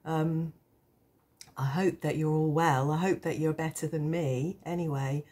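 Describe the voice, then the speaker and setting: A woman with a low, mellow voice roughened by a cold and sore throat. She chats casually as a vlog host, taking her time and pausing often. Her manner is reflective, hesitant and gently self-deprecating. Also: fairly even intonation. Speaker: tarot channel host. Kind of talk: casual rambling chat to camera.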